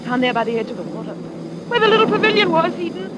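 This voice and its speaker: A woman's voice: very high-pitched, big pitch swings, fast, lo-fi sound. An actress in an old-time radio play, voicing an earnest, sorrowful, fearful woman in love amid war, in a melodramatic manner. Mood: shocked. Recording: noisy old radio broadcast recording.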